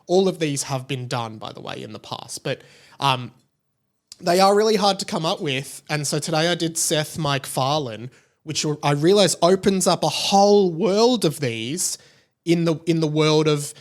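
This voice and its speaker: A young man with an Australian accent talks as a podcast host in a voice pitched a little above average. He chats casually and informally, rambling along with a self-deprecating streak. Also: normal speed. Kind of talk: casual solo podcast chat.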